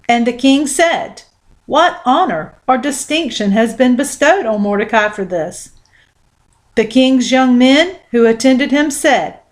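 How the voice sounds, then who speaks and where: A woman reading scripture aloud as scripted narration, with measured, deliberate phrasing. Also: sits high. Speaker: Bible reader.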